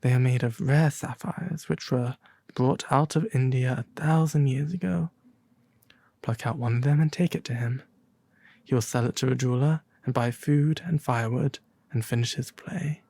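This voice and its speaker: A man voicing a sorrowful, compassionate prince statue in a storybook, soft and breathy, gently pleading. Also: mid-range voice, normal speed, no echo. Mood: sad, resolute.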